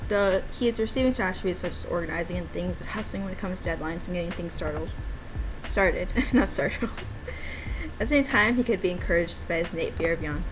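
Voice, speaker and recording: A woman's voice: lowish voice, brisk. Giggly woman streamer with noisy background. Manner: giggly, enthusiastic, rambling. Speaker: game developer streaming her own game. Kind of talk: casual livestream chat mixed with reading game dialogue aloud.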